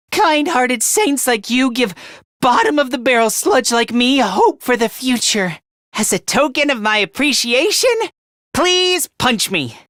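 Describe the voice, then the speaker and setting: A man voicing a meek, self-loathing young anime game character in a high, light voice, hesitant and apologetic, stammering as he puts himself down. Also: lively intonation, measured pace, sharp tone, no room sound, clean studio sound, exaggerated voice. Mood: apologetic, dejected, then meekly earnest. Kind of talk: anime-style video game voice acting.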